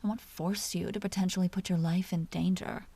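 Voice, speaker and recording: A woman playing a commanding, teasing vampire duchess with a tender side, speaking softly and often in whispers in an intimate audio roleplay. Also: on the low side, steady tone, a little fast. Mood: serious, persuasive. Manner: soft, breathy, often dropping into whispers; teasing, possessive, imperious.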